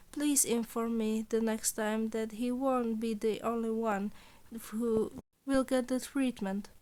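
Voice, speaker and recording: A woman voicing a nervous, incredulous teenage boy student with dry humour, hesitant and questioning, in a fan fiction story. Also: fairly high pitch.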